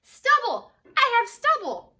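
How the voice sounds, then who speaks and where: A woman's voice: very high-pitched, wildly swooping pitch, slow, bright, forceful tone, far from the mic, voice pushed up, heavily acted. A woman voicing a mild, earnest bald man who longs for a beard, in a children's storybook. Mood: thrilled.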